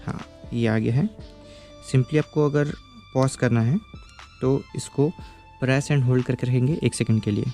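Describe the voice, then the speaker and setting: A man's voice: mid-range voice, steady tone, a little slow, pauses often, dark tone, faint music behind. A man giving a casual, step-by-step product review, explaining patiently and checking in with the viewer as he goes.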